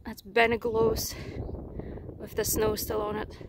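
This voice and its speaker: Softly musing woman on a hill walk. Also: mid-range voice, level tone, a little slow, gravelly. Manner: musing, often quiet and half-whispered. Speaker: hiking vlogger. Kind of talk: casual hiking commentary. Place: outdoors on a hill trail. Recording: outdoor noise behind.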